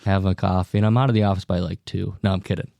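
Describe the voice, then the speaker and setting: A man's voice: low-pitched, little pitch movement, racing, gravelly, warm tone, no echo. A young man, an equipment sales representative, chatting casually on a podcast, joking often with lots of fillers.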